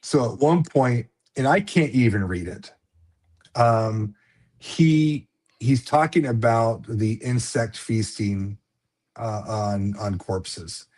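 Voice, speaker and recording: A man's voice: medium pitch, slow, a little mellow. A man who writes books, chatting casually as a guest on a live stream, rambling with many fillers and restarts and a slight lisp. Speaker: author guest.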